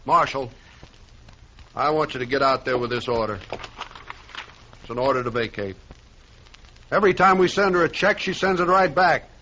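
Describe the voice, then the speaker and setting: A man's voice: medium pitch, moderate pace, long pauses, sharp tone, slight background noise, natural voice. A man playing an officious railroad agent in a radio western, with businesslike, insistent American speech. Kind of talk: old-time radio western acting. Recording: dull lo-fi recording.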